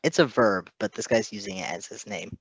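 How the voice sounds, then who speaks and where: A woman with a husky, creaky voice, chatting casually as a co-reader commenting on a story. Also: rumbling low, sing-song, a little fast. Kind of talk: casual chat.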